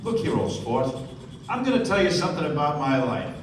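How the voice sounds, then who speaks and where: A man's voice: fairly high pitch, very animated, a little fast. A man playing a suave, courteous, wealthy American host with genteel phrasing in a stage radio play. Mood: earnest, confiding. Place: theater stage before an audience. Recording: echoey stage, distant mic, noisy room.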